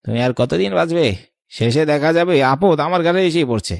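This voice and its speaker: A man's voice: fairly high pitch, animated, fast, gravelly, dry recording. Man voicing a callous, irritable cousin, dry sound. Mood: callous, irritated. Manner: curt, dismissive. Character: greedy, callous adult cousin, irritable and selfish. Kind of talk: cartoon voice acting.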